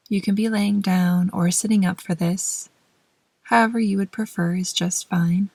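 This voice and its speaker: A woman gently leading a guided meditation in a soft, breathy voice that often trails toward a whisper, with measured pauses between short phrases. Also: medium pitch, no echo. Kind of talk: slow, soothing guided meditation narration.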